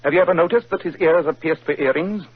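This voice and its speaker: An actor playing a cool, brilliant male detective in a 1940s radio drama, with crisp, clipped questions. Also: fairly high pitch, quick pace. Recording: muffled 1940s radio broadcast.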